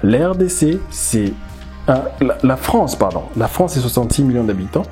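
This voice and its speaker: A man from the DR Congo, a language teacher, giving animated, emphatic commentary and repeating words as he thinks aloud. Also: medium pitch, normal speed, faint music behind. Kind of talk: casual commentary video.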